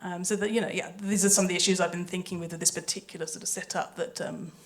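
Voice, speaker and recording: A woman's voice: on the low side, fairly even intonation, fast. A woman speaking thoughtfully on a panel, explaining creative work in a hesitant, explanatory way into a handheld microphone. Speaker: audio drama composer and panelist. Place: live festival panel. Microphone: handheld microphone passed between panelists.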